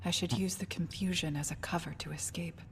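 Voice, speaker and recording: A woman playing a stern, detached elf mage who speaks deliberately and severely in an English anime dub. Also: lowish voice, steady tone, very noisy, close to an everyday voice. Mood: grave, detached. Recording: music behind the voice.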